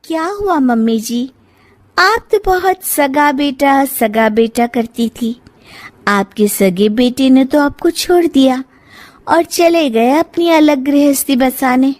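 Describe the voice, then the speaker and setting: Family tale role: chiding young wife, high-toned, measured, outspoken woman performing. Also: a little mellow. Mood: taunting. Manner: pointed, reproachful.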